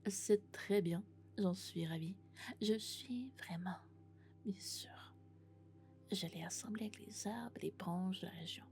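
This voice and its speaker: A woman playing a lovesick, possessive female robot in an ASMR roleplay, speaking in a hushed, breathy, intimate whisper. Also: medium pitch, unhurried, soft background music, lightly acted. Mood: tender, eager.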